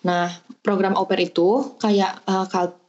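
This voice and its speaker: A woman's voice: mid-range voice, little pitch movement, slow pace, a little mellow. Chatty young woman on a dull lo-fi call. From Bandung, Indonesia. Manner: chatty, explains step by step with many hesitations. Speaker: podcast guest, au pair. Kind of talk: casual chat.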